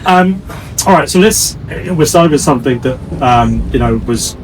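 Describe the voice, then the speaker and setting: A man's voice: fairly high pitch, lively intonation, a little hiss or hum. Male interviewer, slightly distant mic. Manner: wordy, halting phrasing with repeated words. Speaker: interviewer, host. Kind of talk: conversational interview.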